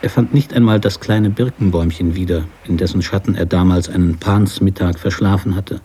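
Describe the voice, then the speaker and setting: A man narrating literary prose for a radio drama in a measured storytelling manner. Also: deep voice, steady tone, dark tone, a little hiss or hum.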